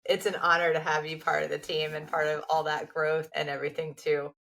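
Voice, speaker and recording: Upbeat woman podcast host, lowish voice. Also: flat delivery, brisk, creaky, a bit bright, low-quality recording. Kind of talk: casual interview chat.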